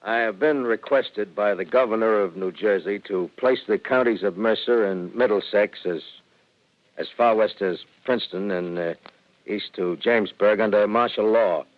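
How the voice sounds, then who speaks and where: An actor playing a militia general reading a stiff official statement, with some hesitations, in a 1930s radio play. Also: male voice, fairly low pitch, moderate pace, close to an everyday voice. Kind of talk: 1930s radio drama acting. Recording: thin telephone-like radio sound.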